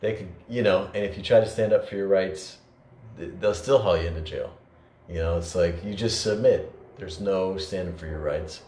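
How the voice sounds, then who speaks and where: Low voiced guy thinking out loud, lots of uh and you know, echoey room. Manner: earnest, halting with many fillers and restarts. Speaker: podcast co-host. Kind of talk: reflective conversational talk. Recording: echoey room with faint noise.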